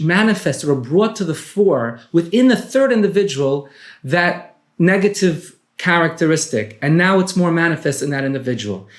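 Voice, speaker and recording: A man, a rabbi and author, explaining teachings in a measured, didactic way. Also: a little high, echoey room.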